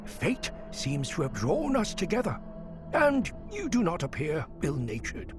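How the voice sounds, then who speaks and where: An actor playing a sly storyteller and trickster in a video game, wheedling in theatrical, old-fashioned phrasing. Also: male voice, fairly high pitch, huge pitch swings, measured pace, over music. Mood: conspiratorial. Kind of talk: video game cutscene acting.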